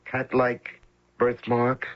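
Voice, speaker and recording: An American man acting in a radio mystery drama as a hired killer posing as a long-lost son, speaking hesitantly with a gravelly, husky voice. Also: medium pitch, monotone. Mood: halting, earnest. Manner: hesitant, halting delivery. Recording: dull lo-fi old radio recording.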